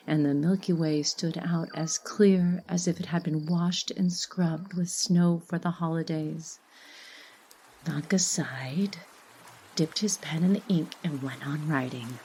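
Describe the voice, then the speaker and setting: A woman reading literary fiction as a narrator, in an expressive, measured storytelling manner. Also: lowish voice, slight background noise.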